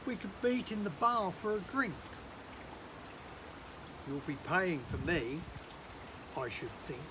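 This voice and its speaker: A performer playing a playful village narrator, delivering an arch, deliberate rhyming prologue to an audience in a theatrical monologue. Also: mid-range voice, very animated. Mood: teasing. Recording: noisy amateur online recording, rain-like hiss.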